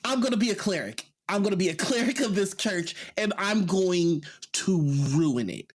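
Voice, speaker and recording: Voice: mid-range voice, expressive, moderate pace. A panelist in a casual tabletop game discussion, explaining ideas conversationally through examples.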